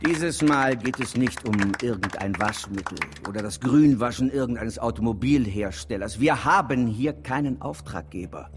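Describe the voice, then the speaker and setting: A man's voice: medium pitch, moderate pace, few pauses, background noise, natural voice. An actor playing a secretive, commanding male agency boss in a satirical radio drama, solemn in delivery. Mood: conspiratorial command. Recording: long hall reverb.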